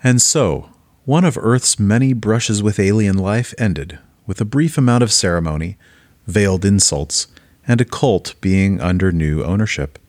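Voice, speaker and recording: A man's voice: on the low side, animated, unhurried, a little mellow, dry recording. A man playing a wry documentary-style narrator in an audio drama, reading scripted narration.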